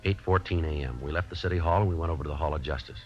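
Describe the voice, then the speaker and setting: A man playing a terse American detective sergeant in a 1950s radio drama, clipped and deadpan in both narration and dialogue. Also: rumbling low, rapid-fire, soft background music. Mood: businesslike, flat. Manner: clipped, matter-of-fact, deadpan. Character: terse, businesslike male police detective sergeant. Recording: dull, noisy old radio recording.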